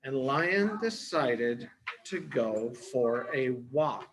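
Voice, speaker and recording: Man, early-years storyteller, unhurried, mid-pitched, simple explanatory delivery, dramatic pauses. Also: animated, noisy background. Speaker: storyteller, early education teacher. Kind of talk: children's story retelling with word explanations.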